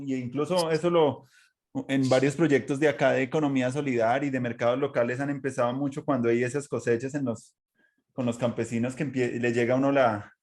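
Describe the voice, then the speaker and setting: A man's voice: medium pitch, a little mellow. A man with a Colombian accent hosting a casual conversation, moving through long, loosely linked trains of thought. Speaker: interview host.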